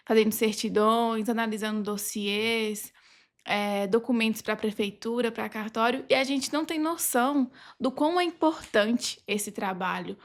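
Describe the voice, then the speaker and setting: Young Brazilian woman, slightly high voice. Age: young adult. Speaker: podcast guest, legal assistant. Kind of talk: personal account in casual interview.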